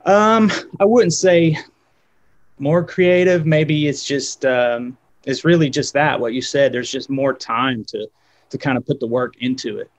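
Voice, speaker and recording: A man's voice: medium pitch, unhurried, dull audio. A man in his thirties, a guest guitarist, talking casually on a video call with many fillers and restarts.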